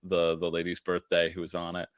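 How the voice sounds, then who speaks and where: A man's voice: sits low, brisk, clear, resonant tone, dry recording. A man chatting casually on a board game stream, telling stories animatedly and acting out what his friends said. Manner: animated storytelling, acts out quoted friends, laughs often. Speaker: board game content creator, guest co-host. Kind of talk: casual live-stream chat.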